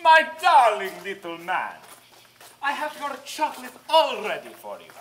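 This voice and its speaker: A woman's voice: on the high side, wildly swooping pitch, measured pace. A woman playing a tyrannical, gleefully cruel grand witch in a theatrical drama, speaking imperiously in foreign-sounding broken English. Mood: sly. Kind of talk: theatrical villain monologue.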